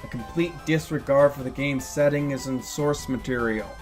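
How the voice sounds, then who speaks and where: A man's voice: mid-range voice, moderate pace, soft background music. A man giving casual, opinionated film commentary in a blunt, sardonic way. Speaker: video essayist reviewing films.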